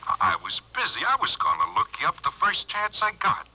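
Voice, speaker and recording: An actor playing a shifty, wheedling crook on the run in a 1950s radio crime drama, slangy and evasive. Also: male voice, fairly high pitch, huge pitch swings, fairly quick, bright, forceful tone, husky, full character voice. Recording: dull old radio broadcast on cassette.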